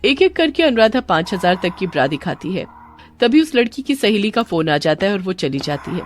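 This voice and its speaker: A woman reads a scripted story as its narrator. Her medium-pitched voice moves up and down in a lively way, with soft music in the background. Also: moderate pace.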